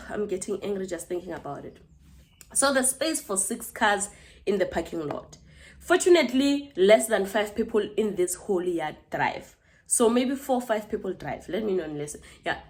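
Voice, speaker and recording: A woman in her twenties with a South African accent, telling a personal story casually to camera as a vlogger, chatty and full of asides to her viewers. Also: mid-range voice. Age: 20s.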